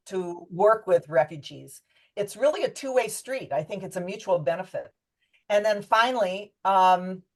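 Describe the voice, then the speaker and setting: A woman hosting an online panel on a video call, chatty, with many fillers and restarts. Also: mid-range voice. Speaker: event host.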